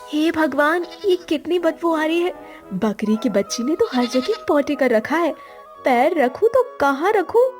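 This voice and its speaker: Very high, whiny young daughter-in-law. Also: female voice, lively intonation, a little slow, soft background music. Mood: disgusted. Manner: whiny, complaining. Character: young grumbling daughter-in-law, squeamish and sly. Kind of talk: Hindi animated moral story dialogue.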